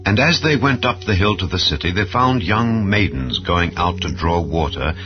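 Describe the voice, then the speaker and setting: A man's voice: deep voice, expressive, normal speed. A man narrating a dramatized scripture audiobook in a solemn, formal storytelling manner. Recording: slightly dull sound with background music. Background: soft instrumental background music.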